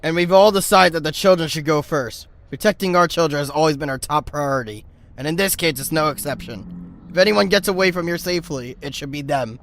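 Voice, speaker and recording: An actor playing an earnest, reassuring creature leader with a formal, firm manner. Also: male voice, on the high side, fairly quick, a bit bright.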